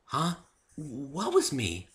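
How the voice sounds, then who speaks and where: A man voicing a meek, gentle young female pony, polite and apologetic, in a dramatic story reading. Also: on the high side, wildly swooping pitch, extremely slow, pitched above the performer's own voice, full character voice. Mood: timid, startled. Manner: meek, soft-hearted, apologetic.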